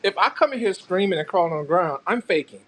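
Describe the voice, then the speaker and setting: A man in his fifties with a fairly high voice for a man. He talks as a patient advocate, recounting his own experience in a panel discussion. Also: lively intonation, fast talker, a bit bright. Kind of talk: personal account in a panel discussion.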